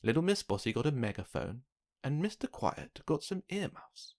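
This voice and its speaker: A man narrating a children's picture book, reading aloud with expressive, dramatic emphasis. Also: a little high, normal speed. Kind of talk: scripted storybook narration for children.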